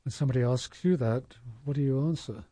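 A man's voice: mid-range voice, fast, warm tone. A man interviewing a writer, asking encouraging questions that often end in tag questions.

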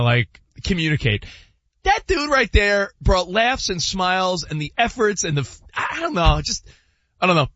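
A man's voice: fairly high pitch, fairly bright tone, no room sound, muffled recording. A man co-hosting a sports radio show, chatting casually and rambling with many restarts.

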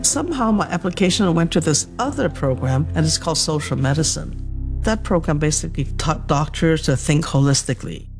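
A woman's voice: deep voice, lively intonation, fairly quick, a little mellow, soft background music. A woman in her seventies from Hong Kong, a doctor reflecting as an interview guest, who laughs often as she recounts memories. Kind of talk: reflective conversational storytelling.

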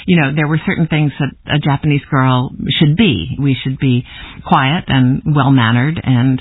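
A woman in her sixties, a guest author giving a reflective, thoughtful interview with soft laughs. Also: a bass voice, moderate pace, breathy edge, no echo. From United States. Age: 60s. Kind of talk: interview reminiscence. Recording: tinny dry phone-like broadcast audio.

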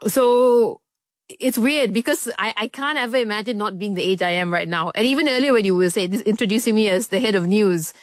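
A woman's voice: mid-range voice, fairly quick, dry recording, studio quality. Thoughtful woman in her thirties. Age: 30s. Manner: thoughtful, earnest, lightly laughing. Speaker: head of news. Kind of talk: casual chat.

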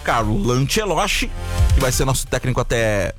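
A male radio host reading listener shout-outs in a sing-song, joking manner. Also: medium pitch, normal speed. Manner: sing-song, lively, laughs often. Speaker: radio morning show host. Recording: radio studio with music bed.

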